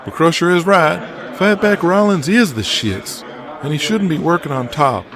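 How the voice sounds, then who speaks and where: A foul-mouthed, fretful wrestling booker, acted by a man in an audio drama. His voice sits fairly high and moves with lively, blunt emphasis. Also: moderate pace, crowd noise. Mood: exasperated.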